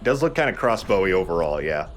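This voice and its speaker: A man's voice: low voice, very animated, quick pace, soft background music. A man giving informal, explanatory and jokey commentary while playing a shooter game. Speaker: let's player giving commentary. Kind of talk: casual gameplay commentary over a shooter.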